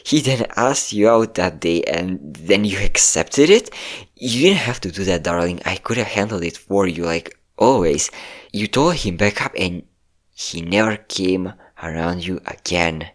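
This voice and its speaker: A low-voiced, obsessive ex-boyfriend, acted out by a man in an audio roleplay. He is manipulative and possessive, coaxing his lover with pet names while an undercurrent of menace runs through his words. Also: lively intonation, a little slow. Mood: agitated, possessive. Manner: coaxing, menacing, calling listener pet names, nervous chuckles. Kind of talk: scripted ASMR-style audio roleplay.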